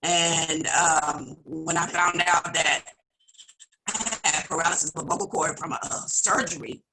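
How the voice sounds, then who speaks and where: A woman pastor with a slightly raspy voice from a vocal cord implant, speaking earnestly and prayerfully, with halting restarts, on a video call. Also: low-pitched, fairly even intonation, background noise. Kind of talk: virtual audience member sharing testimony.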